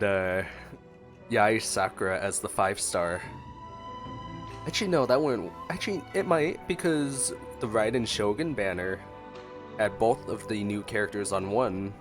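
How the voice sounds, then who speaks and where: A man giving casual, playful reactionary commentary as he streams a game. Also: lowish voice. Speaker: gameplay streamer. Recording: game music behind the voice.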